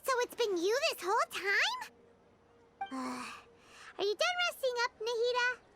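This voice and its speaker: An actress voicing a tiny, excitable female fairy companion in a video game, squeaky, childlike and cheekily blunt. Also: unhurried, very noisy, exaggerated voice. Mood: curious, friendly. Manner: blunt, expressive, third-person self-reference. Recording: loud music behind the voice.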